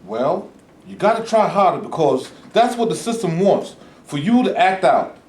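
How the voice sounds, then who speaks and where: A man's voice: sits high, sing-song, moderate pace, darkish tone. A man acting a firm, cautioning civil rights reverend in a staged play reading, admonishing and emphatic. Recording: echoey, noisy stage recording from far off.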